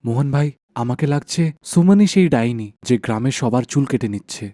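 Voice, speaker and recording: A worried village husband from a cartoon folk tale, acted by a man. His voice is soft-edged and mid-pitched, suspicious and prone to anxious exclamation, recorded dry and close. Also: lively intonation, a little fast, no echo, lightly acted. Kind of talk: animated folk tale dialogue.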